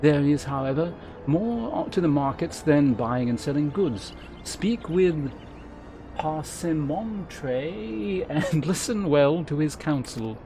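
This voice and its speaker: A man voicing a courteous, formal innkeeper who mentors adventurers in a fantasy game, speaking in measured, archaic phrases. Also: on the high side, animated, music in the background, voice pushed up. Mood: instructive, formal. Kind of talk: read-aloud game character dialogue.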